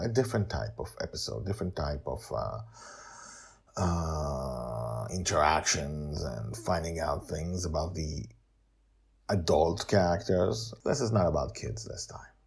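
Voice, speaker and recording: A man with a foreign accent and a husky voice, hosting a story podcast and narrating his own fiction in an informal, unpolished way. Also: a bass voice, lively intonation, unhurried, darkish tone. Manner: amateur, unpolished reading. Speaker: author and podcast host reading own serial fiction.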